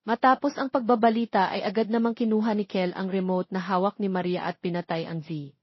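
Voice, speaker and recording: A Filipino woman narrates a scripted romance in a mid-pitched voice. The recording is dry, with no room around it, and slightly dull. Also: steady tone, a little fast, slightly rough, dry recording. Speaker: audiobook narrator.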